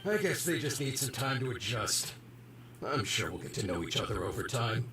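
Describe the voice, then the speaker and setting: An actor playing a friendly, easygoing male site worker in a game, talking in a relaxed, chatty way. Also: medium pitch, normal speed, recorded from a distance, lightly acted. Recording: roomy game audio with music.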